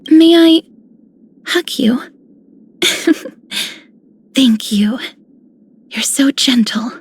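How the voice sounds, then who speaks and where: A woman voicing a gentle, tender young healer in a video game, speaking softly, sweetly and earnestly. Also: high voice, extremely slow, husky, exaggerated voice. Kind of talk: video game character voice lines.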